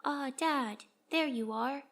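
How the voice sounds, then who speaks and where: A woman's voice: high-pitched, takes it slowly, a bit bright, pitched above the performer's own voice. A woman voicing an imperious, casually commanding female character in a story read aloud. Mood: breezy, entitled. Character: imperious, lounging wife and breezy entitled daughter. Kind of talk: acted dialogue in a read story.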